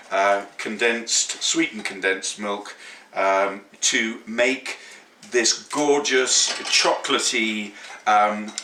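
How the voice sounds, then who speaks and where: A middle-aged man, hosting a home cooking demonstration in a kitchen, chatty, playful and excitable. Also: fairly low pitch, echoey room, far from the mic, slight background noise. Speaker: cooking show host.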